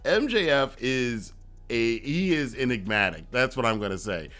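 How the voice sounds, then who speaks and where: Man casually reviewing wrestling, mid-pitched voice. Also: lively intonation, normal speed, fairly bright tone.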